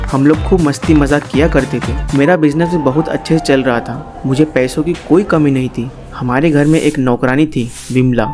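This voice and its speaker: A man's voice: fairly high pitch, lightly acted. A man playing a kind, reassuring middle-aged father telling his tale in a moral story. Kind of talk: animated moral story acting. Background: prominent music.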